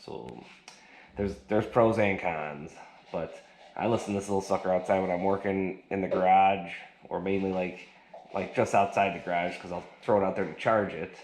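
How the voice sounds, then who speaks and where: A man speaks in a very low register, reviewing a gadget in a loose, rambling, colloquial way. He is indoors, and the recording is roomy and echoing, with clear noise behind him. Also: fairly even intonation, moderate pace. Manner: rambling, colloquial, folksy slang. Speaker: product reviewer. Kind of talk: casual hands-on gadget review. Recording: echoey room with loud noise.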